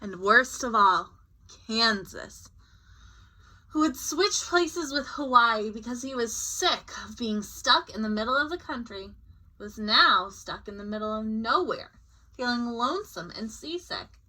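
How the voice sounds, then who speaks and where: A woman's voice: fairly high pitch, a little slow, low-quality recording. A woman reading a picture book aloud as a storyteller, expressively and with informal asides. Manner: expressive, acting out short character quotes.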